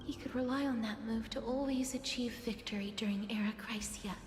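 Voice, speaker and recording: A woman playing a gentle, sorrowful young woman bound to death in a video game, soft, breathy and solemn. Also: fairly high pitch, fairly even intonation, a little hiss or hum, close to an everyday voice. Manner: solemn, measured. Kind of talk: dramatic video game acting. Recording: echoey reverb, faint music underneath. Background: faint game music.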